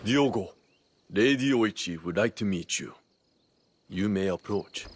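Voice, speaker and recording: An actor playing a dignified attendant in a video game, announcing people with formal ceremony. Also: male voice, fairly low pitch, animated, a little slow. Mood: ceremonious. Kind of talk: dramatic video game acting.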